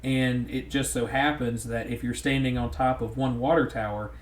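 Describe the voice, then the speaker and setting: A man's voice: medium pitch, fairly even intonation, normal speed. Southern man, podcast co-host, rambling casual delivery, echoey room. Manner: rambling, many restarts. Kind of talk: casual chat. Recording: roomy echo.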